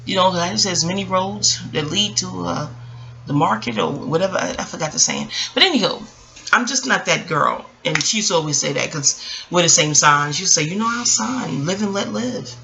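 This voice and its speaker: A woman's voice: lowish voice, animated, fairly quick, creaky, bright, forceful tone, low-quality recording. An American woman vlogging in a casual, reflective storytelling monologue, rambling off on tangents and talking straight to her listeners. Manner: rambling and digressive, addressing listeners directly.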